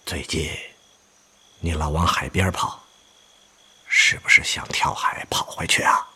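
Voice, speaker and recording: An actor playing a brotherly but stern army officer in a Chinese radio drama, with emphatic, expressive delivery. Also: male voice, extremely low pitch, measured pace, husky, exaggerated voice. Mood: grave, confiding. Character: hearty older army officer, brotherly yet stern male friend.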